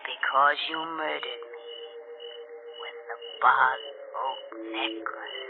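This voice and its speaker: An actress voicing the accusing, vengeful ghost of a murdered sister, haunting and deliberate, in 1940s radio suspense style. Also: female voice, a bass voice, steady tone, music in the background, exaggerated voice. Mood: menacing. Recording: noisy, narrow old radio recording.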